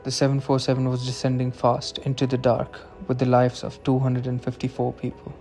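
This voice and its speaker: A man's voice: mid-range voice, monotone, a little fast, dark tone, faint music behind. A man reading scripted documentary narration as the narrator.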